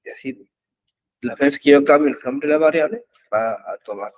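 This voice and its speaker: A man teaching an online web development class, explaining code slowly with frequent pauses and fillers. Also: fairly high pitch. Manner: slow, halting explanation with many pauses and fillers. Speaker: programming instructor. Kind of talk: live online coding class. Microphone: computer mic in an online class.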